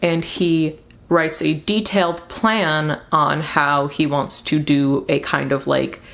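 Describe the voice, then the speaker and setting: Chatty young woman with a lowish voice. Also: steady tone, slow pace, vocal fry, fairly bright tone. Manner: enthusiastic, chatty, emphatic repeats. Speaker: book reviewer and video host. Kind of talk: casual rambling book recommendation vlog.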